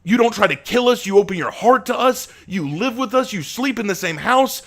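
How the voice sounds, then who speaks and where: A man voicing a tormented young male vampire with a volatile temper, who bursts into shouting rage and anguish. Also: rumbling low, normal speed, voice pushed down.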